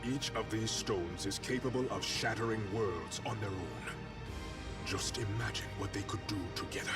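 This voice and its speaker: A man playing a solemn, resolute warrior king in a video game, speaking in a measured, regal manner. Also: fairly low pitch, lightly acted. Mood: grave, wise. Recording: distant voice, music behind.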